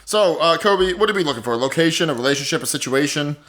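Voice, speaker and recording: A man's voice: fairly high pitch, lively intonation, fast talker, a bit bright. A man co-hosting an improv comedy podcast in casual, bantering talk.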